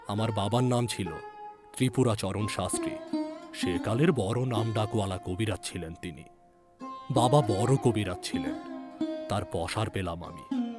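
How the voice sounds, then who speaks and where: Man playing a grave, slow-spoken old physician. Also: fairly low pitch. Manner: solemn, deliberate storytelling. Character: grave, touchy old village physician recounting his past. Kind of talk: dramatized ghost story narration.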